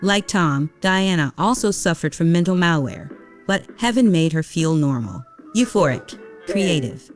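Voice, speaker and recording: Female narrator, deep register, scripted fiction storytelling over soft background music. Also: animated, a little slow.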